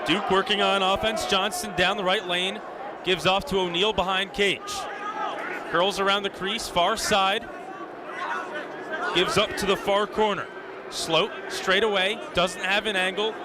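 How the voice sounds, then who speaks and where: A young man calling live sports on the radio in clipped, vivid present-tense phrases. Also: high voice. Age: young adult. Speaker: radio play-by-play announcer. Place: live at a lacrosse game. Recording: noisy live stadium broadcast. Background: venue ambience and noise.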